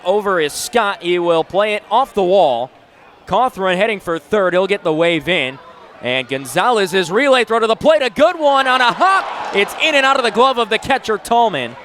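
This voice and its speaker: A man's voice: sits high, a bit bright. A man in his twenties doing live play-by-play sports commentary in short, punchy announcer phrases. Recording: noisy live venue sound.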